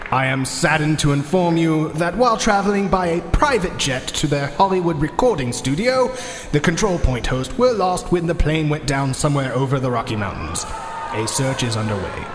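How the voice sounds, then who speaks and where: A man giving a mock-formal scripted announcement as a show's announcer, pompous and solemn. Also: fairly high pitch. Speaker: announcer introducing a podcast's Christmas special. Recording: echoey hall with noise and crowd.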